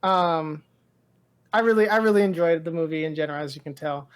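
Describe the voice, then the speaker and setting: A woman co-hosting a pop-culture podcast. She talks in a loose, gushing ramble full of filler words, like an excited fan chatting with a friend. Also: sits low, a little fast, clear, resonant tone. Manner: rambling, heavy use of "like" and "dude"; enthusiastic, gushing. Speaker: podcast co-host. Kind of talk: casual pop-culture chat.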